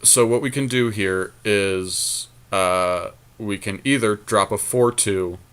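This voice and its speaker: Male gamer, low voice, casual bantering play-by-play with a co-host, slangy and conversational. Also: takes it slowly, a bit bright, clear, resonant tone. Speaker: podcast co-host playing a card game. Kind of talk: casual game commentary chat.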